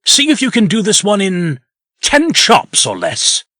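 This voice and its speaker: An actor voicing a scornful, defiant condemned pig in a comic dub. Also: male voice, sits high, wildly swooping pitch, unhurried, pushed and bright, no room sound, studio quality, heavily acted.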